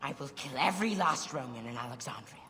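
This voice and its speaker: A man's voice: medium pitch, expressive, fast, bright, forceful tone, long reverb tail, a little hiss or hum. An actor playing a petulant, hostile young boy-king who speaks in sullen threats. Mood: spiteful.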